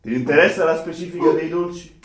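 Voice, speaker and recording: A man's voice: on the high side, a little fast, close to an everyday voice. An actor playing a foul-mouthed, sadistic tormentor in a theatrical radio drama, domineering and mocking as he reels things off. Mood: brash, mocking. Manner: domineering, mocking, reeling off lists. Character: foul-mouthed, sadistic bullying tormentor forcing a captive to eat. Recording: roomy, distant stage recording with audience.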